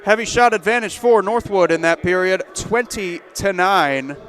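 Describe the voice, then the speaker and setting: High-pitched male hockey play-by-play caller. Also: fairly bright tone. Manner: brisk calls that lift in pitch on rushes toward the net. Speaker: play-by-play commentator. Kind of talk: live hockey play-by-play commentary.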